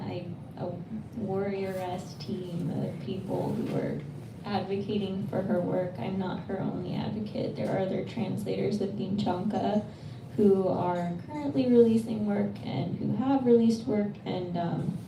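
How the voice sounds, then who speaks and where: A woman translator answering audience questions at a live bookstore reading, speaking earnestly and thoughtfully with frequent restarts. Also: mid-range voice. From United States. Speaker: poetry translator. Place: bookstore event space. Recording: roomy, distant, noisy room sound.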